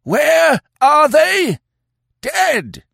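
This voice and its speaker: Old warrior chief, very high screechy voice, frenzied, accusing, shouted fantasy storytelling. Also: male voice, sing-song, drawn-out words, creaky, dry recording, clean studio sound, heavily acted. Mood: furious accusing. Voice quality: shrill, screeching. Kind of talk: dramatised fantasy audiobook character.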